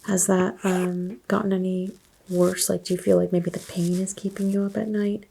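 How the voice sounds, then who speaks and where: A soft-spoken woman doing an ASMR doctor roleplay, asking unhurried exam questions and slipping into whispers. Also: on the low side, little pitch movement, creaky, husky.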